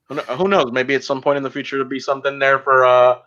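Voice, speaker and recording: A man's voice: medium pitch, flat delivery, quick pace, bright, forceful tone. A man hosting a podcast, chatting casually in a joking, stop-and-start way over slightly dull audio. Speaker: podcast host.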